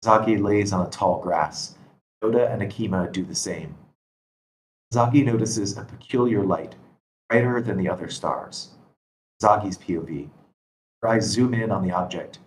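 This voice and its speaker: A man's voice: fairly low pitch, normal speed, long pauses, low-quality recording. A man reading scripted stage directions as narrator at a screenplay table read. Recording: constant background noise.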